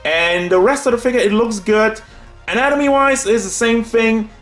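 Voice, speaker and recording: A man's voice: extremely high pitch, normal speed, fairly bright tone. Casual man reviewing figures over music. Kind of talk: casual unscripted product review. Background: background music.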